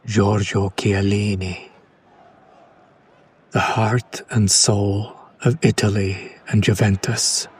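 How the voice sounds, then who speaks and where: A man playing a condescending, smitten kidnapper in an ASMR roleplay, speaking in a hushed, breathy, lingering way. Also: on the low side, flat delivery, slow, a little hiss or hum. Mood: hushed, admiring. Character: condescending yandere male kidnapper, smitten with his captive. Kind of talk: whispered ASMR roleplay, slow soft recitation.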